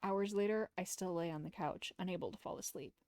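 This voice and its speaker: A woman's voice: lowish voice, fairly quick, darkish tone, dry recording. A woman streamer reading visual novel text aloud as narration, with casual asides, close to the mic.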